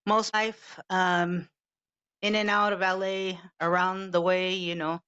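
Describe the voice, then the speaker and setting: A woman's voice: on the low side, flat delivery, takes it slowly, sharp tone, clear, resonant tone. A woman in her fifties from Los Angeles, co-hosting a podcast in a laid-back, chatty way and swearing casually. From Los Angeles, California. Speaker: podcast co-host. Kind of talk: casual chat. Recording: dull lo-fi sound.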